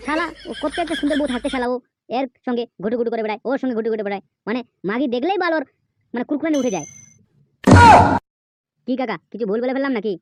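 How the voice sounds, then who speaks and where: A woman's voice: fairly high pitch, quick pace, long pauses, heavy background noise, lightly acted. A woman voicing a sly, lecherous male village informer in an amateur cartoon, gossipy and crude. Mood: sly, scheming.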